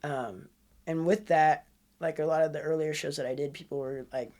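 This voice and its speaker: A young man with a rather high, nasal voice, chatting casually as a podcast guest. He rambles along, stringing thoughts together with a steady stream of filler words. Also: normal speed. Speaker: guest musician.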